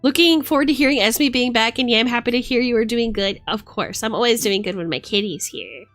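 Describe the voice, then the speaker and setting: A woman's voice: a little high, fairly quick, fairly bright tone, soft background music. A woman livestreamer chatting casually and affectionately, thinking aloud in a playful way as she reads tarot cards.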